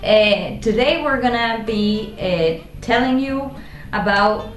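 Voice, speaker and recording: A woman in her twenties from Argentina with an Argentine Spanish accent, chatting casually as a vlog host in a halting way, searching for words. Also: fairly high pitch, lively intonation. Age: 20s. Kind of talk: casual chat to camera. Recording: roomy echo, guitar music behind. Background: guitar music.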